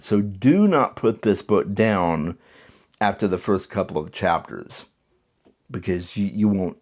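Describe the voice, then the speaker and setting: Enthusiastic man with a lowish voice. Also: a little mellow. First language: English. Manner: enthusiastic, conversational, with frequent restarts. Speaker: book reviewer. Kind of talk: casual spoken book review.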